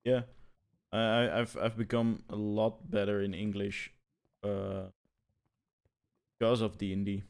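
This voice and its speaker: Halting man with a low voice. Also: little pitch movement, gravelly, darkish tone, clean tone. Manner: halting, restarts words often. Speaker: podcast co-host. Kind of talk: casual chat.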